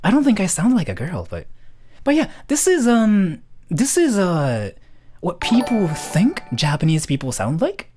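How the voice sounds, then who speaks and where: A teenage boy doing a young man's voice pushed well above his natural pitch, bright and exaggerated. It bounces up and down a lot as he shows it off. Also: huge pitch swings, a little slow, faint music behind, exaggerated voice. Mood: demonstrating. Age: teens. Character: young man in a high-pitched put-on voice.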